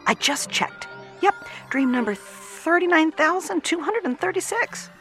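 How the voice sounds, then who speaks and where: An actress playing a chirpy, knowing dream character who comments on events in a radio drama. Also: female voice, extremely high pitch, animated, a little slow, fairly bright tone, heavily acted. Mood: matter-of-fact. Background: music.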